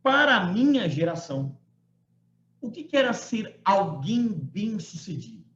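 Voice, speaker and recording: A man's voice: sits high, sing-song, a little slow, a bit bright. Man in his forties lecturing over a call. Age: 40s. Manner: conversational, frequent tag questions, emphatic quoting. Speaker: law professor giving a lecture. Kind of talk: online lecture to students. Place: home room. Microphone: computer mic on a video call. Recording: roomy, muffled video-call audio.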